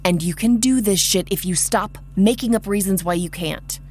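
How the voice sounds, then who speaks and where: A woman's voice: medium pitch, moderate pace, darkish tone, slight background noise, natural voice. An actress playing a pushy, foul-mouthed young female vampire in an audio drama, sardonic and commanding as she acts and narrates. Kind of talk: audio drama acting with first-person narration. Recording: music behind the voice.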